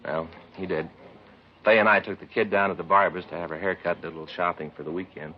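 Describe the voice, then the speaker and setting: A male actor plays a grumbling American family-man detective in a 1950s radio drama. His voice is low and his delivery folksy and aggrieved. The old recording is muffled with some hiss. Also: fast talker, close to an everyday voice. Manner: folksy, aggrieved deadpan storytelling.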